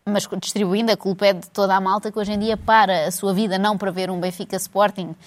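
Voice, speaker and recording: A woman's voice: medium pitch, quick pace. A woman with a European Portuguese accent, co-hosting a casual chat and ranting sarcastically about football and money. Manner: sarcastic, animated rant.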